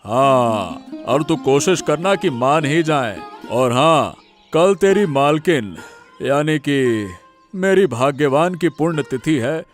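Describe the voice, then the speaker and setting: A man's voice: fairly high pitch, animated, takes it slowly, faint music behind. A man voicing a generous, avuncular older village moneylender in a cartoon drama, expansive in manner. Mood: genial. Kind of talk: Hindi cartoon family drama.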